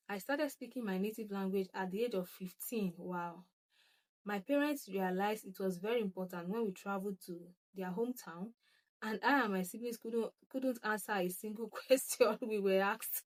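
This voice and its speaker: A woman's voice: mid-range voice, low-quality recording. A young Nigerian woman whose first language is Yoruba hosts her own channel, reading viewer comments aloud and reflecting on them in a chatty way, with frequent restarts and laughter. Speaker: channel host. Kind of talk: casual talk to camera, reading comments.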